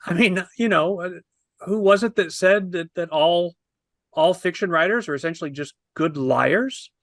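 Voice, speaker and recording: A man's voice: sits high, normal speed, a bit bright, dry recording, clean studio sound. A man in his fifties in a casual panel discussion, thinking aloud and qualifying his points as he goes. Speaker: film review panelist. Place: indoors on a video call.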